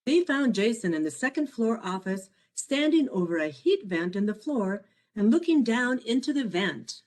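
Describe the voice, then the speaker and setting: A woman reading her own children's picture book aloud as a storyteller, in an expressive, measured way. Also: fairly high pitch. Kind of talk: scripted storybook narration for children.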